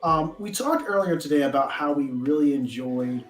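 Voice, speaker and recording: A man's voice: on the high side, animated, moderate pace. A man co-hosting a casual live stream, talking like an enthusiastic fan. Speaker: podcast host. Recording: roomy echo, faint music.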